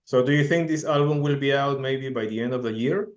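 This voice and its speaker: Man, medium pitch, interviewing casually. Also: a little fast. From Peru. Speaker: music interviewer.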